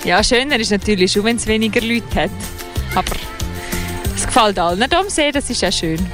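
A woman's voice: mid-range voice, expressive, a little fast. A woman with a Swiss German accent chatting as an interviewed visitor outdoors beside a lake. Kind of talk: casual street-style interview answers. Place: outdoors at a lakeside. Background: music.

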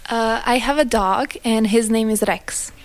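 A woman's voice: on the high side, measured pace, slight background noise. A young woman whose first language is Slovak, answering interview questions in English and often starting with "uh".

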